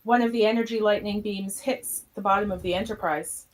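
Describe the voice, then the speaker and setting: Woman narrating, medium pitch. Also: fairly even intonation, fairly quick, over loud music, rough recording. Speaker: narrator of podcast radio play. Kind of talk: scripted narration.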